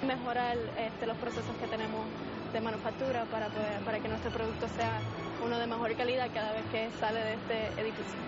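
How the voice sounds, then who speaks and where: A woman talks in an even, fairly flat, slightly high voice, earnest and steady. Music plays loudly beneath her on a slightly dull recording. She sounds like a documentary interviewee. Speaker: aerospace engineer interviewee. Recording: slightly dull audio under loud music.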